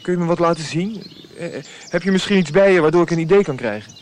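A man plays an eager newcomer looking for a place at court. His fairly high voice is earnest and questioning, in radio drama style, with faint outdoor noise behind it. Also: animated, fast, a little mellow, lightly acted. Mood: inquisitive. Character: eager, inquisitive male newcomer seeking court work.

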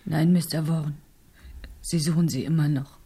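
An actress playing an anxious, dutiful young niece in a German radio drama, earnest and deferential. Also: female voice, sits low, fairly even intonation, normal speed, mellow, breathy edge. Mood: worried.

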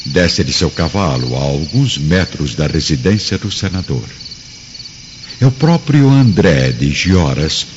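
A man's voice: rumbling low, background noise. A man with a Brazilian accent narrates a scripted radio drama in a solemn, theatrical storytelling style. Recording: dull lo-fi recording. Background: clear background music.

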